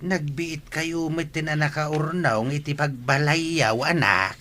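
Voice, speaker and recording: A man's voice: fairly high pitch, slow, pushed and bright. A man acting a kindly, helpful older father in an audio drama. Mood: warm, reassuring.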